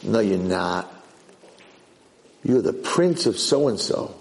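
A man's voice: fairly low pitch, sing-song, takes it slowly, vocal fry, slight background noise, heavily acted. A man voicing a loyal old male servant in a told story, urging and coaxing in short, insistent phrases. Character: urgent, loyal old male servant rescuing a lost prince.

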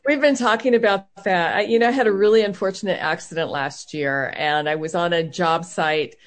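A woman's voice: fairly low pitch, fairly quick. A woman actress guest in a casual interview, speaking earnestly and repeating words as she thinks.

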